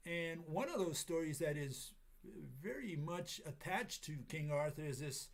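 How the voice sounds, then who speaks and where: A man with a raspy, hoarse voice from a cold, giving a casual lecture with many pauses and fillers. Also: a little high. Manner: halting, many fillers, chuckles at himself.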